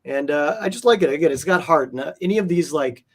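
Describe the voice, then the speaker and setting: A man chatting casually as an interview guest, earnest and halting, circling back over phrases for emphasis. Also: on the high side, lively intonation. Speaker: guest, comic art collector.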